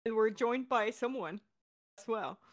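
A young woman hosting a casual podcast chat, talking in a bubbly, excitable and informal way. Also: fairly high pitch, unhurried. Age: young adult. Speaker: director and voice actor hosting a Q&A.

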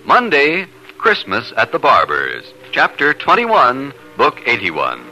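A man's voice: medium pitch, huge pitch swings, slow pace, bright, forceful tone, faint music behind, poor audio. A male announcer reading a scripted advert emphatically in the style of 1950s radio, on a vintage broadcast recording. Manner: emphatic, spells out words.

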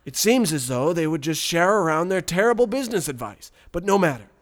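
A man playing a folksy, naively smug country boy who tells his story deadpan, with a rural American accent, in a radio parody. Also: fairly high pitch, fairly quick. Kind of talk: scripted first-person storytelling, radio parody.

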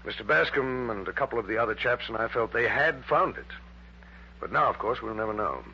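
A man's voice: medium pitch, fairly quick, bright, forceful tone, a little hiss or hum. An actor playing an earnest, troubled male research chemist in a 1960s radio drama, hesitant yet emphatic. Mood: earnest, discouraged. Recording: dull, humming early-1960s radio recording.

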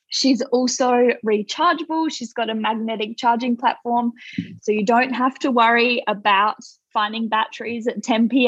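A young Australian woman talks casually as a podcast guest, her voice sitting fairly high. She rambles a little, with frequent fillers and restarts. The sound is dry and slightly dull, like a remote call. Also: slightly rough, no room sound. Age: 20s. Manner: chatty, many fillers and restarts. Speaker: guest, toy company founder.

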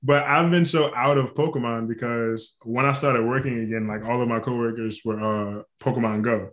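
A man's voice: mid-range voice, fairly even intonation, normal speed, a bit bright. A man hosting a casual podcast, swearing freely and laughing often. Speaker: podcast host. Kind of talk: casual chat.

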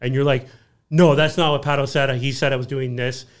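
A man around thirty tells work stories in a loose roundtable chat. He is an electrician from Canada and acts out customers' lines as he tells them. His pitch is average and his voice is plain. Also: brisk. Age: 30s. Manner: storytelling, animated quoting of customers. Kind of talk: casual podcast chat.

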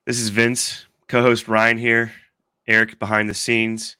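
Stuffy-nosed man, fairly low voice, casual sports pod host, rambling chat. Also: monotone, measured pace, pushed and bright, smooth, dry recording. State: congested from allergies. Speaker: podcast host.

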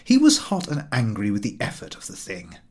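A man narrating a comic travel story as an audiobook reader, in a wry, measured and expressive way. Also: mid-range voice, husky.

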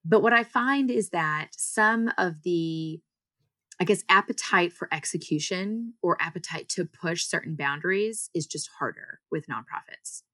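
A woman's voice: lowish voice, measured pace, bright, forceful tone, no room sound. A woman from the US and Singapore, a founder giving explanatory, conversational answers as an interview guest. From United States and Singapore.

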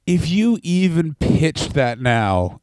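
A man's voice: a little high, sing-song, slow pace, darkish tone, no echo, studio quality. A man co-hosting a casual podcast, joking wryly and swearing freely. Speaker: podcast co-host. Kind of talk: casual wrestling podcast chat.

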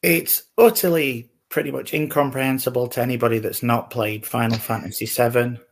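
A man with a medium-pitched voice takes part in a casual podcast chat. He sounds informal and enthusiastic, speaking as one of the panel. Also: steady tone, fairly quick.

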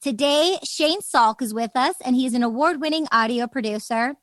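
A woman's voice: sits high, moderate pace, a bit bright, no room sound. A woman podcast host with an enthusiastic, informal manner, chatting in an upbeat interview style.

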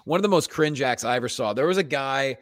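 A man's voice: medium pitch, animated, quick pace, dry recording, clean studio sound. A man co-hosting a comedy podcast, talking shop casually in a blunt, profane way.

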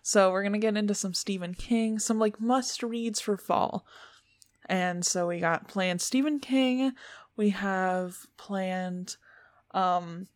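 A young woman hosts a podcast in a loose, chatty way, leaning on filler words as she goes. Her voice sits at a middling pitch and sounds close and dry, with almost no room around it. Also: no room sound. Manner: chatty, frequent "like" fillers, animated. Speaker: podcast host. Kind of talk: casual podcast chat.

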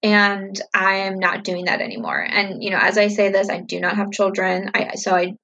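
A woman in her thirties talks casually to her viewers, upbeat and reflective, with lots of "like" and "you know". Her voice is a little husky and sits mid-range. The recording sounds slightly dull and lo-fi. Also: medium pitch, animated, moderate pace, a bit bright. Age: 30s. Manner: upbeat, chatty, reflective with many fillers. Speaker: vlogger answering viewer questions. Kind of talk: casual Q&A chat to camera.

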